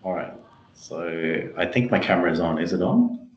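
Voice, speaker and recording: A man's voice: sits low, normal speed. A man answering interview questions on a video call, explaining things emphatically in a conversational way. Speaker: interview guest, tech company chief executive. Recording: muffled, roomy video call audio.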